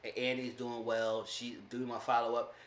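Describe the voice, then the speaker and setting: A man in his thirties casually recapping a reality show, telling the story with lively voices for the people he quotes. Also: moderate pace, echoey room. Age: 30s. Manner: animated storytelling, acting out quoted people with big pitch jumps. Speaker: TV show reviewer.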